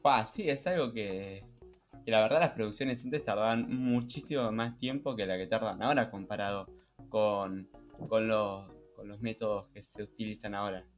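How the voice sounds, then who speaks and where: A man with a Rioplatense Argentine accent co-hosting a casual podcast, speaking haltingly and repeating words as he goes. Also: fairly low pitch, soft background music. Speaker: podcast co-host.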